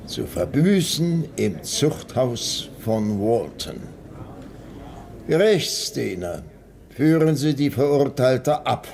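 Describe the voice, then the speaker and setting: An actor playing a stern judge in a radio drama, formal, measured and authoritative as he pronounces sentence. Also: on the high side, a little mellow, slight background noise, full character voice.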